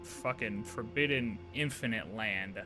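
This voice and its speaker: A man livestreaming a game, talking casually and thinking out loud in slangy, sometimes profane speech. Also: on the low side, measured pace, music in the background. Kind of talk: casual game playthrough commentary.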